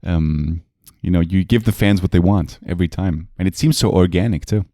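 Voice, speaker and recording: A man co-hosting a podcast, chatting casually about films in a relaxed, hesitant way with many pauses. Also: deep voice, dry recording, clean studio sound. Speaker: podcast co-host. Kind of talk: casual film chat.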